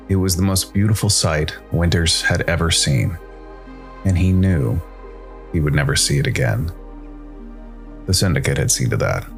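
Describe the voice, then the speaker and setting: A man's voice: a bass voice, vocal fry. A man reading scripted science fiction noir narration as a storyteller. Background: faint music.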